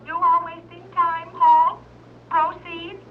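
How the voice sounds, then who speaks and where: A woman's voice: extremely high pitch, monotone, takes it slowly, slight background noise. A woman playing a stern young submarine commander who speaks in clipped, commanding orders, in 1930s radio acting. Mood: curt. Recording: tinny 1930s radio, radio-set transmission.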